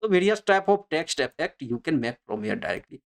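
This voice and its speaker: A tutorial instructor with a mid-pitched voice talks through software steps off the cuff. The phrasing is non-native English, halting and often repeated, as each step is explained in turn. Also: big pitch swings, gravelly. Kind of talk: unscripted screen-recorded step-by-step tutorial narration.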